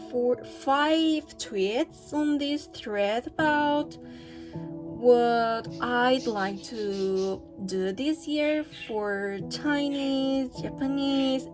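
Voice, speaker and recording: A young woman talking casually about her own language goals, with many hesitations and fillers, in a language that is not her first. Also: a little high. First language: not English. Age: young adult. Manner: hesitant, many fillers and restarts, soft laughs. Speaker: language-learning vlogger. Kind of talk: casual vlog talk.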